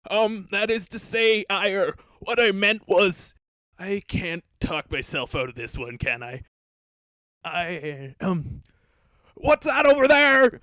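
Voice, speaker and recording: High-pitched lovesick male cartoon villain played by a man. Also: expressive, slow, sharp tone, no echo, full character voice. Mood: flustered. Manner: awkward, stammering when caught out. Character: lovesick, hot-tempered male mutant villain, awkward kidnapper. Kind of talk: abridged cartoon parody dub.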